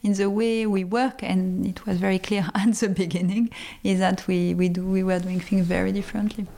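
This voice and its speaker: A woman's voice: mid-range voice, flat delivery, moderate pace, gravelly, mellow. A woman with a French accent, a native French speaker, answering interview questions conversationally and discursively, searching for words in English. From France, born in Lebanon. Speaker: brand co-founder, interview guest.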